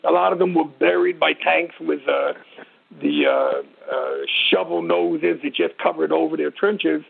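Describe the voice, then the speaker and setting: A man's voice: a little high, wildly swooping pitch, unhurried, creaky, slightly rough. An older American man explaining things informally as an expert interview guest, blunt and emphatic, in short repeated phrases. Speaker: military expert guest, retired sergeant major. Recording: tinny narrow phone-line radio sound.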